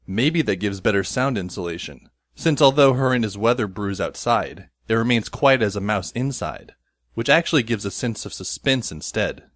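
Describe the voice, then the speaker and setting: A man playing a wry, resigned teenage schoolboy who narrates an audio drama in a deadpan manner. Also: lowish voice, moderate pace, no echo. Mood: wry, measured. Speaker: narrator and lead character. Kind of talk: first-person scripted audio drama narration. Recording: music behind the voice. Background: instrumental music.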